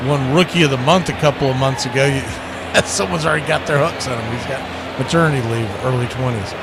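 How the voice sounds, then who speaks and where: A man's voice: mid-range voice, fast talker, heavy background noise. A man calling live baseball play-by-play, conversational, with clipped calls and wry asides. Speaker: play-by-play radio announcer.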